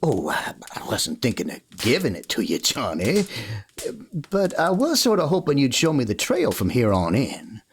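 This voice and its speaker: A man playing a kindly, easygoing cowboy in a western radio play, talking in a halting, folksy way. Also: mid-range voice, wildly swooping pitch, a little hiss or hum. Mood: gently coaxing. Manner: folksy, halting, gently coaxing. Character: kindly, easygoing drifting cowboy of the Old West.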